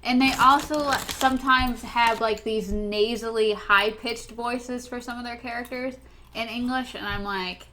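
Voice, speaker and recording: A woman's voice: on the high side, fairly even intonation, a little slow, background noise. A young woman co-hosting a casual podcast chat, often hesitating and repeating herself before she makes her point. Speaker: podcast co-host.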